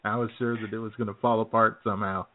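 A man's voice: lowish voice, level tone, fast, a little hiss or hum. Man joking on tinny, phone-like radio audio. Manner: teasing, joking. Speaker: comedy radio show co-host. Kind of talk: casual comedic banter.

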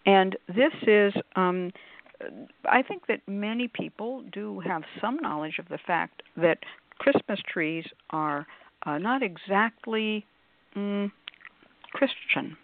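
A woman hosts a casual radio talk show over a thin, phone-like connection. She is chatty and effusive, dropping emphatic asides as she goes, at an average pitch for a woman. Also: slow. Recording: phone-like, narrow-band sound.

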